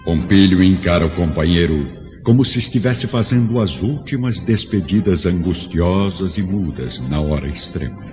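An actor serving as the grave, dramatic narrator of a scripted radio drama. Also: male voice, deep voice, expressive, moderate pace, hardly any pauses. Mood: grave, suspenseful. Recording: dull radio-drama recording, music behind.

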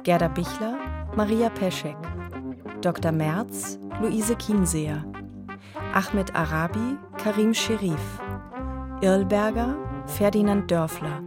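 Unhurried woman announcing radio play credits. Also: mid-range voice, over music.

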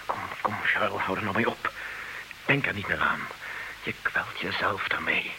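A man's voice: deep voice, normal speed, background noise. A man playing an urgent, blunt confidant who presses with short questions, in in an old radio play. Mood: concerned. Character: urgent, blunt male confidant goading a betrayed husband. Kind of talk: old Dutch radio drama acting.

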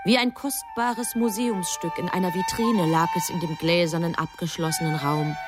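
A woman's voice: fairly low pitch, steady tone, normal speed, close to an everyday voice. A woman performing as the composed narrator of a literary radio drama, in scripted narration. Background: music.

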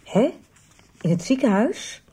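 A woman's voice: on the high side, very animated, slow pace, warm tone, husky, full character voice. An actress playing a troubled alcoholic mother, lonely and confessional, speaking in downcast short phrases in a radio soap. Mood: subdued. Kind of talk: 1990s Dutch radio soap acting.

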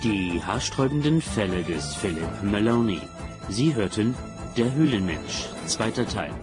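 Male announcer for radio drama intros and recaps: lowish voice, scripted delivery, clear music bed. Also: lively intonation, unhurried.

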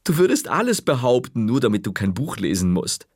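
A man's voice: medium pitch, sing-song, brisk, a little mellow, no room sound, clean studio sound. A man doing a chiding exasperated mother. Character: patient, slightly exasperated mother.

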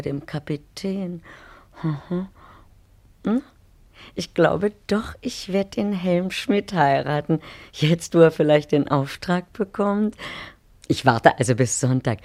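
A chatty young milliner. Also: female voice, extremely low pitch, darkish tone, heavily acted. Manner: chatty and coy, narrates her own thoughts. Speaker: radio drama actor. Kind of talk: 1950s radio play acting.

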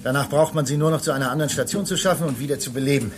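An actor playing a talkative, excitable space station technician in a German radio drama, lecturing his colleague in an insistent, teasing way. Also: fairly high pitch, rapid-fire, lightly acted. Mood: excited, persuasive. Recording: clear background noise behind dialogue.

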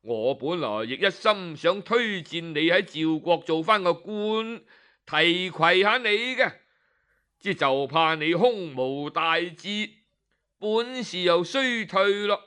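A man voicing a smug, powerful male minister who drawls with slow mockery. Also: sits high, expressive, pushed and bright, clear, resonant tone, exaggerated voice. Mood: sneering. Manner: drawling, mocking, sarcastic.

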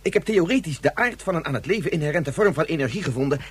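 Husky, agitated confessing inventor. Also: male voice, a little high, expressive, fast. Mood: agitated, insistent. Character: agitated Japanese man confessing to police, self-styled inventor. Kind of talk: radio drama acting.

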